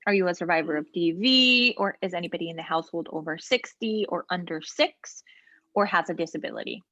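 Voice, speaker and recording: A woman trainer patiently walking a practice client through online software during a role-play interview. She explains each step and often pauses with ums and short asides. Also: fairly low pitch, lively intonation, no echo, highs cut off. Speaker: legal aid trainer. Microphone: video call.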